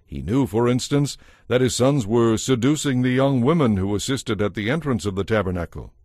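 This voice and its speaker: A man narrating a dramatized audio Bible as a scripted story, measured and solemn. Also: medium pitch, animated, darkish tone, no echo, clean studio sound.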